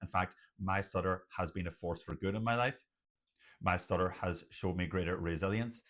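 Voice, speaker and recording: Man, middle-aged, low pitch, stutter, earnest, emphatic, short measured phrases, motivational personal talk. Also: fairly bright tone. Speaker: doctor sharing personal experience of stuttering.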